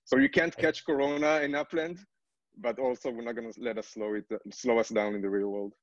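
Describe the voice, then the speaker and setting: A man's voice: mid-range voice, steady tone, fairly quick, dry recording. A man in his thirties talking casually and explaining in a video-call Q&A, peppering his speech with filler phrases. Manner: hedging, repeats "kinda like". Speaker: company co-founder from gaming industry. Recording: slightly dull video-call audio.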